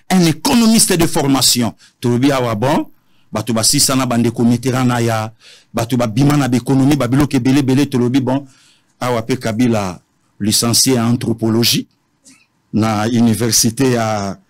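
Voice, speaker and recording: A man with a Congolese accent in an animated political discussion, emphatic, repeating phrases and switching between languages. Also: medium pitch.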